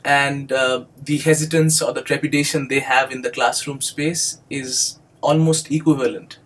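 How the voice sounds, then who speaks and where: A man's voice: medium pitch, fairly even intonation, a bit bright. Indian man talking with many ums. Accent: Indian English. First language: Bengali. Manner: measured and explanatory, many ums and uhs. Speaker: doctoral student and instructor. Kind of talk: recorded video monologue.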